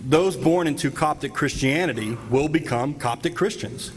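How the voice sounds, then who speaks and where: A man's voice: on the high side, normal speed. Middle-aged male debater from the US, emphatic and argumentative, podium mic in a big auditorium, reverberant. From United States. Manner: assertive, argumentative, emphatic gestures. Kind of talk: formal debate cross-examination. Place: large auditorium stage. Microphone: podium microphone. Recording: hall reverb, faint background noise.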